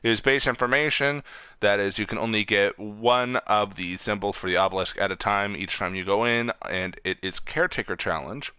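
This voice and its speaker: Bright, clear-voiced man reading a gaming rundown. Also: lowish voice, moderate pace, no echo. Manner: informal, stumbles over words and corrects himself. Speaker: streamer host. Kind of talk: casual gaming weekly rundown, reading off lists.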